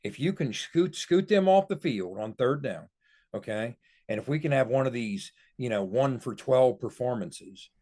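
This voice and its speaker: A man's voice: mid-range voice, animated, moderate pace, warm tone. A man giving sports analysis on a podcast in an emphatic, explanatory way.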